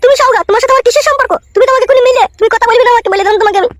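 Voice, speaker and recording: A woman voicing a young wife in a comic cartoon, shrill and snappish with a defiant streak. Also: racing, no room sound. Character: defiant young wife, meek toward her father-in-law.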